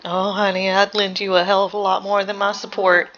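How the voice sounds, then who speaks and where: A woman's voice: mid-range voice, flat delivery, brisk, dull audio, heavy background noise. A woman giving casual reaction commentary on a game, musing analytically with a playful streak.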